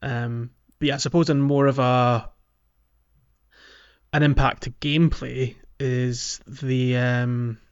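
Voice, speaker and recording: A man's voice: mid-range voice, little pitch movement, slow pace, clear, resonant tone. A man co-hosting a podcast, chatting about a video game in a rambling, hesitant, conversational way. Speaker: podcast co-host. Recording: dull lo-fi microphone, faint music.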